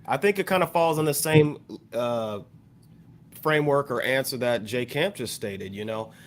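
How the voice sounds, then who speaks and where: An American man with a mid-range voice speaks on a music podcast panel. His delivery is conversational and measured, and he tends to agree with the others. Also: medium pitch. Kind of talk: casual panel discussion.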